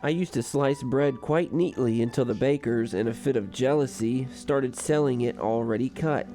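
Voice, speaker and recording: A mild, urbane college president with dry wit, acted by a man in a radio comedy. The voice is mellow and mid-pitched, the phrasing formal and eloquent. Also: normal speed, faint music behind, natural voice. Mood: wry. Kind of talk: radio drama acting.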